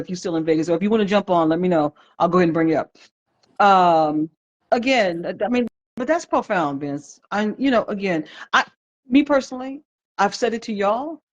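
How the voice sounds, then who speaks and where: A woman in her fifties hosting a live stream chat, heartfelt and reflective, greeting viewers by name as she talks. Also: mid-range voice. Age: 50s. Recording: dull lo-fi livestream audio.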